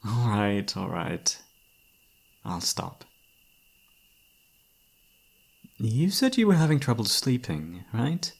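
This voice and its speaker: A man's voice: fairly low pitch, very animated, slow pace, vocal fry, long pauses, husky, heavily acted. A man playing a devoted young knight in a romantic fantasy ASMR roleplay, speaking in a courtly yet playfully teasing manner. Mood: gentle, caring. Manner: formal, courtly, playfully teasing, soft-spoken sighs.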